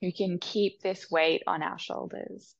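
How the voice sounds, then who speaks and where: Slow, gravelly-voiced woman guiding meditation. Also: fairly low pitch, fairly even intonation, no echo, muffled recording. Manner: soft, slow, soothing instructions with long pauses. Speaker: meditation guide. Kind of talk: guided meditation.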